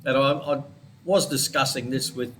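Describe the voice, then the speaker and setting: A congested Australian man talks through his weekly trading charts in a loose, chatty way and wanders off into side topics. His voice sits at an ordinary middle pitch, and a faint hiss of room noise sits under it. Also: steady tone, normal speed, a bit bright. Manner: chatty, rambling, digressive, with small laughs. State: congested with a head cold. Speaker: forex trading educator. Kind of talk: casual weekly market analysis.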